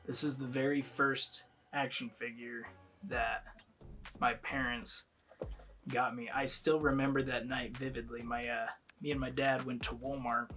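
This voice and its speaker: A congested young man with a bright, sharp-edged voice rambles casually to his viewers. He sounds stuffed up from a head cold, talking in a loose, chatty way. Soft music plays faintly underneath. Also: mid-range voice. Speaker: reaction video host. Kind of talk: casual chat to camera.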